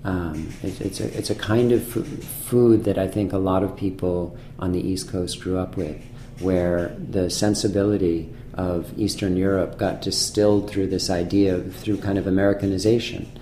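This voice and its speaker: A man from Massachusetts, a chef being interviewed, speaking thoughtfully with many pauses and restarts in a restaurant. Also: low-pitched, fairly even intonation, warm tone, echoey room, a little hiss or hum. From Massachusetts, USA. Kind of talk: casual conversational interview.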